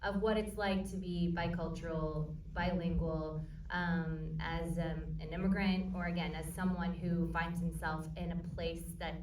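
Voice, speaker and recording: A woman's voice: on the low side, unhurried. Playful woman on a cinema stage. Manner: playful and teasing, animated. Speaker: actor guest at a screening Q&A. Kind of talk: unscripted Q&A answers. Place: cinema auditorium. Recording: echoey room, distant mic, faint noise.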